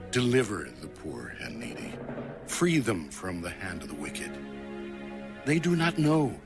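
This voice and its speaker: A man with a fairly low voice reads scripture solemnly and very slowly. The delivery is dramatic, like a produced audio Bible, with clear music playing behind him.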